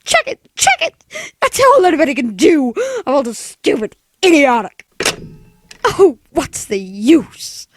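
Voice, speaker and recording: A woman's voice: sing-song, unhurried, no echo, clean studio sound. A woman playing a nervous, demanding, self-pitying invalid wife in a melodramatic 1940s-style radio play. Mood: indignant, nervous. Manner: imperious, melodramatic, shrill, fretful.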